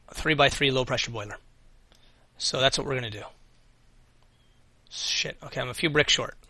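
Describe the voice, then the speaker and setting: Bright-voiced man losing his voice. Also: medium pitch, normal speed, no room sound. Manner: thinks aloud, mutters, swears casually. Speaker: let's play host. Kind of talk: casual gameplay commentary.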